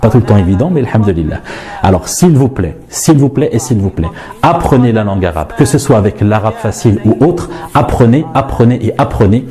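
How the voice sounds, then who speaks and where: A man's voice: fairly low pitch, mellow. A man in his forties from France, an Arabic teacher, introducing himself in a chatty, self-mocking yet earnest way. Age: 40s. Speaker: Arabic teacher and institute director.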